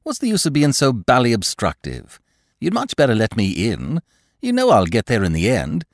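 A flippant, cheerful young aristocrat, as a male reader voices him. He talks in a breezy, teasing, sing-song way, and his pitch swoops widely from phrase to phrase. The recording is dry and close. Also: huge pitch swings, moderate pace, no room sound. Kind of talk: audiobook reading.

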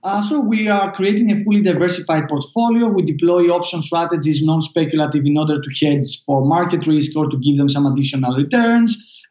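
A Greek-accented man with a high voice answers questions haltingly, stopping and restarting his sentences. His voice sounds roomy and echoey. The recording is dull and muffled. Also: darkish tone. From Greece. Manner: halting, with many fillers and restarts. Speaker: startup founder guest. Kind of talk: casual interview answers.

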